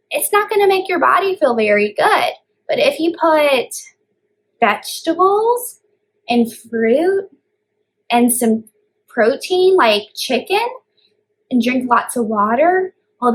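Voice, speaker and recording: A woman's voice: high voice, a bit bright, low-quality recording. A woman leading a children's story time as a librarian, explaining things simply and stressing key words. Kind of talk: read-aloud storytime for young children.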